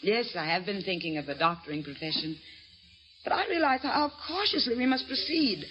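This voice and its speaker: A woman's voice: mid-range voice, expressive, brisk, a little hiss or hum, lightly acted. An actress playing a cautious, concerned young woman, sister to a doctor, in an old-time radio drama. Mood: cautious, gentle. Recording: dull old radio recording with music.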